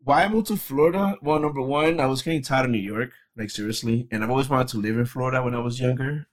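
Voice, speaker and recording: A man's voice: mid-range voice, fast. A man from the Dominican Republic, co-hosting a casual podcast chat in a laid-back way and swearing freely. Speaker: podcast co-host.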